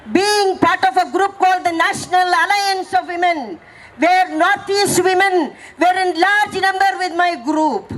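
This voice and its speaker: A woman, hoarse from speaking, gives an impassioned protest speech and fires rhetorical questions at the crowd. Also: very high voice, background noise. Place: outdoor protest gathering.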